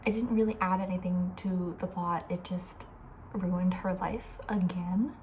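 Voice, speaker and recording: A young woman with a soft, breathy voice, often near a whisper, chatting casually and with playful opinions in an ASMR style. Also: lowish voice, fairly even intonation, unhurried, slight background noise. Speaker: ASMR video creator.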